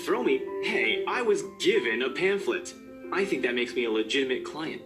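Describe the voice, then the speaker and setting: A man's voice: mid-range voice, big pitch swings, moderate pace, natural voice. A man voicing a teasing, slightly awkward young male friend in a visual novel game, casual and playful. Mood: mock indignant. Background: video game music.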